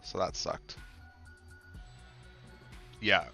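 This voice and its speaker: A man with a stuffy, cold-clogged voice, casually commenting as he plays a football management game, musing and then breaking into sudden excited reactions. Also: very slow, faint music behind. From Canada.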